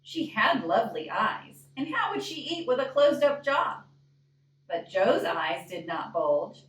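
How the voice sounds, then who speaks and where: A woman reading a picture book aloud in an expressive storytime style, deliberate and often putting questions to young listeners. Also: a little high. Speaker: librarian reading a storybook to children. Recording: echoey room, distant microphone.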